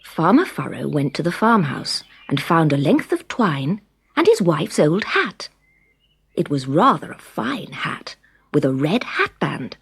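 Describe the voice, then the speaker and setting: A woman reading a scripted children's story in an expressive, deliberate storytelling manner. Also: low-pitched, vocal fry, breathy edge. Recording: background music under the reading.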